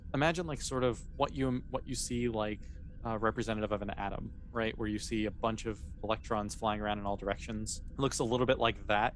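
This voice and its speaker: Low-voiced man running a sci-fi tabletop game. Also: slight background noise. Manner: casual, explanatory, conversational. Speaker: game master. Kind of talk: improvised narration of a tabletop sci-fi roleplaying game.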